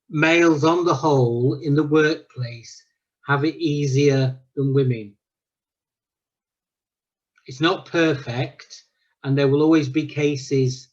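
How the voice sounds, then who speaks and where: A man, a former ethics teacher, making measured, probing points in a casual debate. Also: mid-range voice, darkish tone. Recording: dull lo-fi sound.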